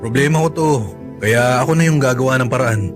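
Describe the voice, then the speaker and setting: A man's voice: medium pitch, a little fast, faint music behind, natural voice. An actor with a Filipino accent playing a cash-strapped, apologetic and devoted young father in a radio drama, with a self-effacing manner. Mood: resigned, tender.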